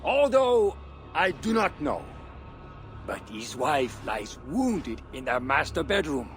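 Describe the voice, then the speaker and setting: An actor playing a drunken, despairing priest who rambles theatrically in lofty words for a video game. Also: male voice, on the high side, big pitch swings, slow, fairly bright tone, faint music behind, heavily acted. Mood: resigned. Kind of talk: dramatic video game acting.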